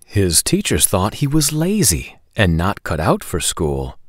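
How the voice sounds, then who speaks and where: A man in his forties hosting a children's storytime, reading aloud in an expressive, deliberate, sing-song way. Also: low-pitched, no echo, clean studio sound. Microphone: lapel microphone.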